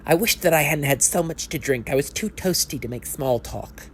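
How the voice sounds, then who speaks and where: A man's voice: a little high, animated, moderate pace, fairly bright tone, a little hiss or hum. A man narrating an audio play as a conversational, scripted dramatic monologue.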